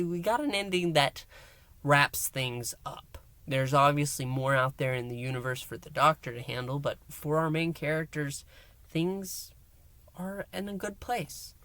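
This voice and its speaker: A man hosting a review and recap, speaking emphatically to viewers in a halting way with dramatic pauses. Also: medium pitch, fairly bright tone, dry recording. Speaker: host of an audio drama review channel.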